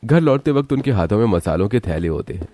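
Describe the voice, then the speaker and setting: A man's voice: fairly low pitch, lively intonation, fast talker, warm tone, no room sound, studio quality. A man narrating a scripted cartoon family story.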